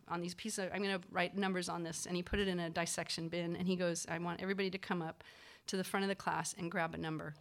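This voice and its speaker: A woman, a physician assistant, telling a personal story in a casual, animated way, acting out the people she quotes, with lots of fillers. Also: on the low side.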